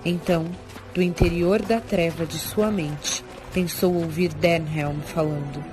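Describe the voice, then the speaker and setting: A woman's voice: lowish voice, fairly even intonation, unhurried, rough recording. A woman with a Brazilian accent reading scripted, dramatic narration for a fantasy audio drama. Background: prominent music.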